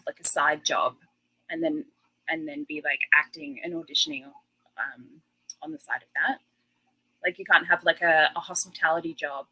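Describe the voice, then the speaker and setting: A woman's voice: lowish voice, bright, forceful tone, breathy edge, rough recording. An Australian woman in her thirties, a guest chatting casually and laughing often. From Western Australia. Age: 30s. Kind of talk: casual interview chat.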